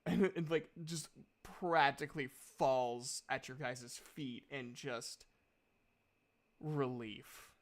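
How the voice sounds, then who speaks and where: A man narrating a tabletop game as game master, improvising in halting phrases with pauses mid-sentence. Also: medium pitch. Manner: halting, pausing mid-sentence to compose descriptions.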